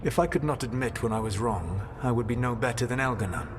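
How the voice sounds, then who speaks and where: A man playing an ancient, proud and regretful elven rebel in a video game, speaking formally and deliberately in a measured, solemn way. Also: medium pitch, animated, big-room sound, noisy background, natural voice. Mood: solemn candor. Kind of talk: video game dialogue acting.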